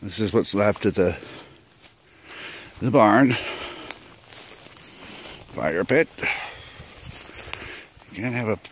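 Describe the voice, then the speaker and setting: A middle-aged man from Canada giving a relaxed, unhurried commentary outdoors as he hikes along overgrown rural trails and films on a handheld camera. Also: lowish voice, slight background noise. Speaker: vlogger. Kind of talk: casual walking narration.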